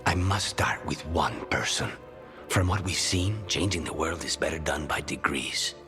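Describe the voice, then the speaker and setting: An actor playing a brooding, demon-possessed assassin in a video game, grave and measured, his phrases sometimes falling hushed. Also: a bass voice, music in the background. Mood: troubled. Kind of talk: video game cutscene acting.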